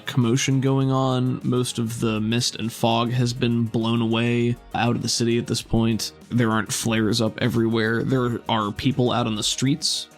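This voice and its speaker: A man running a tabletop role-playing game as game master, narrating scenes in a relaxed, descriptive way. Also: medium pitch.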